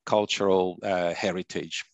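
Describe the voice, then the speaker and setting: A man's voice: low-pitched, steady tone, measured pace, no room sound. A man from Ticino in Switzerland, a native Italian speaker with an Italian accent, answering interview questions thoughtfully with many restarts and "you know"s. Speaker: guest, radio host and author.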